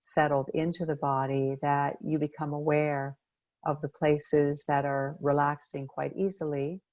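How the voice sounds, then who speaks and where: A woman leading a guided meditation as a coach, speaking gently and unhurriedly with pauses between phrases. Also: low voice, little pitch movement, dry recording, dull audio.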